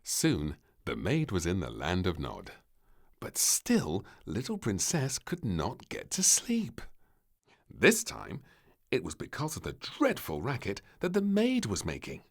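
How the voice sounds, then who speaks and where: A man narrating a children's storybook in an expressive, theatrical and measured way. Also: lowish voice.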